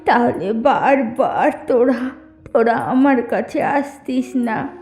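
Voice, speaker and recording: A creaky old village witch played by a woman speaks in a dark, mellow and very slow voice, grieving and vengeful. Her lines come deliberately, in fairy-tale cartoon style, with echo around them. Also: a little high. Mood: bitter, grieving. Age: older. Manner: slow, deliberate, halting with repeated words. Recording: echoey studio dub with background music.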